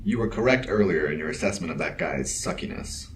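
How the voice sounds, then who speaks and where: A deep-voiced male protector in an audio drama, calm and terse. The wording is formal and dryly deadpan, yet the pitch moves freely. Some background ambience sits behind the voice. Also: a little fast, slight background noise. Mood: steady, reassuring. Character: calm, dry, capable bodyguard ally, terse and protective.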